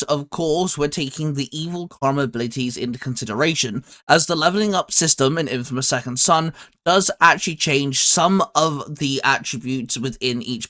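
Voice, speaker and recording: A man's voice: fairly high pitch, a bit bright. A man hosting a casual video game ranking discussion, talking to viewers and a co-host.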